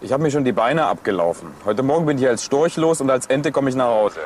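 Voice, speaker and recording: An actor playing a weary, sceptical police investigator, speaking colloquially in a German crime radio drama. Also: male voice, medium pitch, expressive, quick pace, a little mellow, slight background noise, close to an everyday voice.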